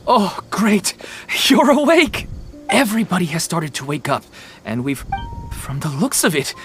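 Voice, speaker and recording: A woman's voice: lowish voice, a little slow, natural voice. A woman voicing a friendly townswoman in a video game. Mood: relieved. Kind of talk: scripted video game dialogue. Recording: game music behind the voice.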